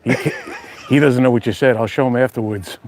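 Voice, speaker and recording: A chatty man with a New York accent talks straight to his viewers on a live stream. He jokes easily in a relaxed, unscripted way. He is recorded outdoors on a phone with faint noise behind him. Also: mid-range voice, a little fast. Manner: chatty, joking, addresses viewers directly. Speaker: outdoor channel host. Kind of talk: casual live-stream chat. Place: outdoors at a beach house.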